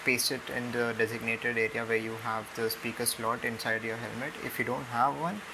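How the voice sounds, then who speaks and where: A young man with an Indian accent giving a casual, halting unboxing and tutorial narration with many ums. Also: mid-range voice, vocal fry. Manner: hesitant, halting delivery full of ums. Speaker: product reviewer. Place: indoors on a room floor. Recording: noisy home recording with steady hiss.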